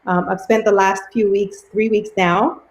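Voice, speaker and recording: A woman's voice: mid-range voice, fairly even intonation, unhurried. A woman in her forties from Cameroon, the new head of a school, introducing herself in a friendly way with frequent ums over a video call. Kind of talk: introductory welcome speech.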